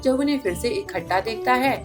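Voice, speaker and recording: A woman's voice: on the high side, animated, brisk, music in the background. A woman narrator reading a scripted children's story.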